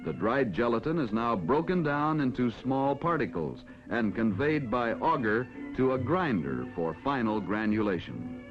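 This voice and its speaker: An American man reading scripted narration for a mid-century educational film in a formal, measured announcer style. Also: medium pitch, big pitch swings. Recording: dull vintage film soundtrack with music. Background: background music.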